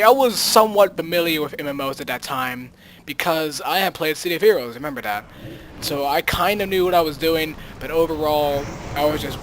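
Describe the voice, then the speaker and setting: A man with a somewhat high-pitched voice hosts a casual storytime for gamers. He rambles along, acting out his own reactions and repeating phrases for dramatic emphasis. A faint layer of noise sits behind his homemade recording. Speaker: host of a gaming storytime series.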